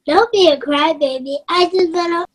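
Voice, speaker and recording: A woman plays a six-year-old girl, rowdy and childlike. The voice sits extremely high. The lines come as part of a screenplay table read. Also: normal speed. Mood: anxious.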